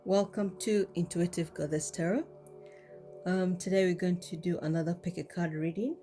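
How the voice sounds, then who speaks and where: A woman with a cold giving a casual tarot card reading, talking in a relaxed way with many ums and repeated "okay?". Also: on the low side, normal speed, faint music behind. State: has a cold. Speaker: tarot reader.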